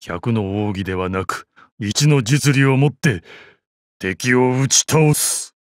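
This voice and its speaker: A man playing a calm, deadly old martial arts master and assassin in a video game, with a weathered, measured, wry delivery. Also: medium pitch, dry recording, studio quality. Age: older. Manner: measured, wry, explosive battle cries. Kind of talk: video game battle voice lines.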